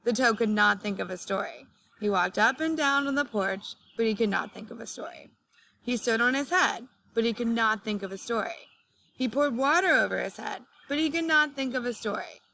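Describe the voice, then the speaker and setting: Female read-aloud teacher, bright tone, addressing children clearly, faint noise in the background. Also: a little high, normal speed, rough recording.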